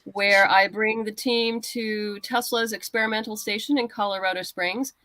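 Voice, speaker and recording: A woman's voice: mid-range voice, steady tone, normal speed, bright, forceful tone, dry recording. Woman guest explaining in casual chat. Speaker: guest writer and comics creator.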